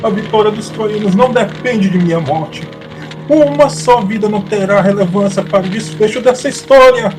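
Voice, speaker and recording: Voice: mid-range voice, moderate pace, over music, lightly acted, poor audio. An actor playing a desperate warrior who begs for his life in a theatrical, pleading way in an audio drama.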